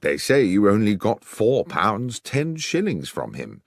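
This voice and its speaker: Man acting assured, husky gentleman impostor. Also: on the low side, huge pitch swings, measured pace, dry recording, full character voice. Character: composed impostor posing as a respectable male doctor. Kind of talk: audiobook character acting.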